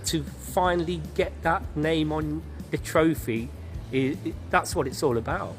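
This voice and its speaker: Male interviewee, higher-than-average voice, faint music behind. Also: unhurried. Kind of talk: documentary interview.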